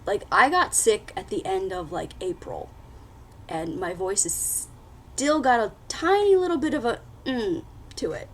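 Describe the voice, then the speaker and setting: A woman's voice: medium pitch, lively intonation, a little slow. A woman vlogging a casual book haul, chatty and full of fillers, her voice a little scratchy after being sick. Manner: chatty, lots of fillers and restarts. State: slightly scratchy voice after illness. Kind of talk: casual book haul chat with read-out blurbs.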